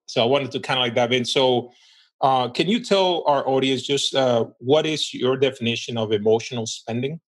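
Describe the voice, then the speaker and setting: A man's voice: mid-range voice, moderate pace. A man from the Dominican Republic hosting a podcast interview, upbeat and encouraging toward his guest. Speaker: podcast host.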